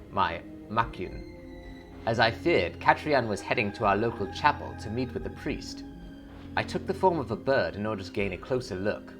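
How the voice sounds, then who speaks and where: A haunted warlock, acted out by a man, speaks in a low, solemn voice. The delivery is measured and brooding, heavy with guilt, like a dramatic storybook reading of a dark journal, with music behind it.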